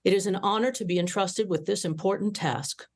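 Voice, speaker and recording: A woman's voice: mid-range voice, fairly quick, close to an everyday voice. A woman playing a proud, formal starship captain dictating a log at a cartoon script table read.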